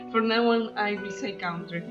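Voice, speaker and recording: A woman co-hosting a let's play, chatting casually in halting English as she feels for words. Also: medium pitch. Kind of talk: casual chat while playing a game. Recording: muffled lo-fi audio with music. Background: game music.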